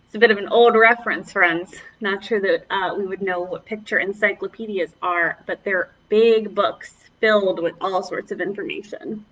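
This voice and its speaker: A woman's voice: mid-range voice, fairly even intonation, moderate pace, hardly any pauses, fairly bright tone, recorded from a distance, lo-fi sound. A woman children's librarian leading a preschool storytime, reading aloud and chatting encouragingly to the children as her friends.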